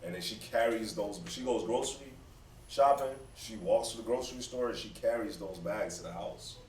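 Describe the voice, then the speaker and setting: A man casually telling a story in relaxed everyday talk. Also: fairly low pitch, normal speed. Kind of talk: casual chat. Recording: echoey room, distant mic, faint noise.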